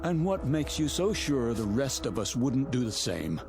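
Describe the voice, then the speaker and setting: Animated male voice, indignant outsider, incredulous, sardonic, radio play, music behind. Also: mid-range voice, measured pace. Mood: skeptical, challenging. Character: indignant male outsider, sardonic would-be novelist held captive. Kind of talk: radio drama acting. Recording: music under radio drama dialogue.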